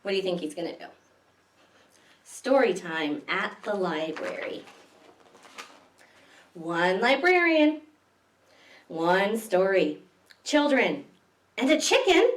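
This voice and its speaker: A woman's voice: medium pitch, sing-song, slow, pauses often. A woman reading picture books aloud to young children as a library story time host, expressively, with questions to her listeners. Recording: echoey room, distant microphone.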